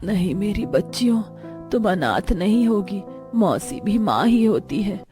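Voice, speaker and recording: A woman's voice: mid-range voice, sing-song, measured pace, over music. Woman acting a weak, dying village mother. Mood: weak, pleading.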